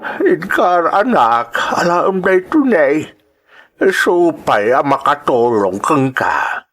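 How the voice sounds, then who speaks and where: A man with an Ilocano accent playing a gentle, caring old father with a husky voice in a radio drama. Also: on the high side, big pitch swings, takes it slowly, heavily acted. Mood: tender, insistent.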